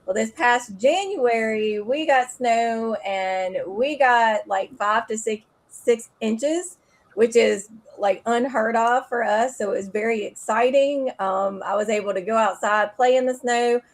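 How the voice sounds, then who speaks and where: A woman's voice: a little high, measured pace. Southern woman in her fifties from Alabama. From Alabama, United States. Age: 50s. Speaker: guest. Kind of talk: casual chat.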